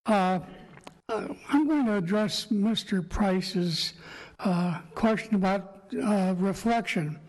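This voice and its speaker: A man with a sore throat and a slightly raspy voice, giving a halting public presentation to a city council. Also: high-pitched. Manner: slow, halting, many fillers. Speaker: applicant speaking at council meeting. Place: council chamber. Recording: echoey council chamber.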